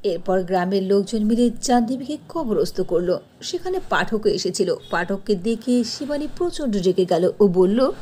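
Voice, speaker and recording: A woman narrating a cartoon story in an expressive storytelling manner. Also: mid-range voice, normal speed, slight background noise.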